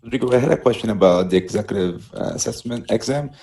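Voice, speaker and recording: A man's voice: medium pitch, fast, dark tone. A man from Brazil with a Brazilian Portuguese accent, a prospective student politely asking careful questions on a video call.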